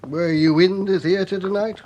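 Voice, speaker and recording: An actor playing an odd, hunched older theatre violinist, wry and knowing, in a period radio drama. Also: male voice, sits high, steady tone, a little slow, a little mellow, a little hiss or hum. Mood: wry, gossipy.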